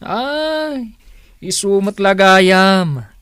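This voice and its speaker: Animated, easygoing husband, dryly recorded. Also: male voice, high-pitched, extremely slow, clean tone, no echo, clean studio sound, heavily acted. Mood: puzzled, amused. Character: easygoing, indulgent husband, curious and mildly surprised. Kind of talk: Ilocano radio drama acting.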